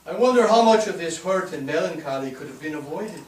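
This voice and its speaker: Stage drama: male actor as searching, melancholy departed soul, high pitch, far from the mic. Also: expressive, fairly quick, darkish tone, lightly acted. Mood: reflective. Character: troubled dead man questioning his guide. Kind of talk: staged play reading. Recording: echoey stage, distant mic.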